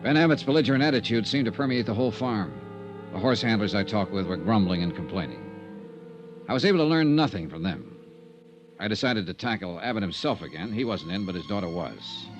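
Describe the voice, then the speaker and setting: A man playing a sharp, persistent private investigator in a 1950s radio drama, with clipped questions and dry narration. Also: lowish voice, lively intonation, brisk, close to an everyday voice. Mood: dry narrating. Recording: dull vintage radio recording with music.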